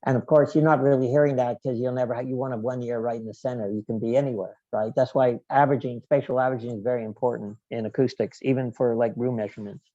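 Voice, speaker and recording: A man giving a technical talk in a halting, digressive, explanatory way. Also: medium pitch, steady tone, mellow, no echo, highs cut off. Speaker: guest lecturer, loudspeaker engineer. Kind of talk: technical presentation with question and answer.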